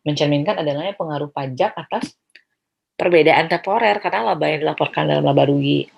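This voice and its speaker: Low, husky Indonesian woman giving a lecture. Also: fairly quick. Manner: explanatory, often tags phrases with check-in particles. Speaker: accounting lecturer. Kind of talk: lecture explaining slides, mixing in English terms.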